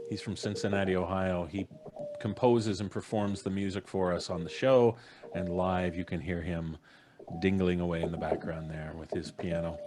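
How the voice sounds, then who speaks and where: Deep-voiced, animated middle-aged man hosting. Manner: jokey, theatrical introductions. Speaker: podcast host. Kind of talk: casual live-stream hosting. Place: small indoor home studio, on a video call. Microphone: studio mic on a boom arm.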